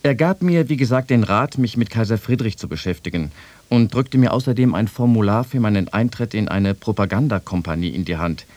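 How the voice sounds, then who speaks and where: A man's voice: on the low side, fairly quick, darkish tone, no room sound, close to an everyday voice. A man playing a wry soldier-writer, reading a personal letter to a friend in a radio feature. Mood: wry, resigned. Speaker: quote reader in a radio feature.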